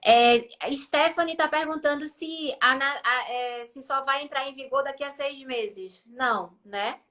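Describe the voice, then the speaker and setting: A woman's voice: high voice, unhurried, bright, forceful tone. A woman hosting a live stream, reading out viewers' questions to her guest and acknowledging answers briefly.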